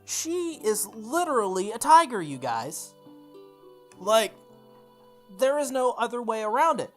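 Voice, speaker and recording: A woman playing through a visual novel, reading its text aloud with expressive, goofy casual commentary, sometimes correcting her stumbles. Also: a little high, measured pace, soft background music. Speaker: host of a visual novel playthrough.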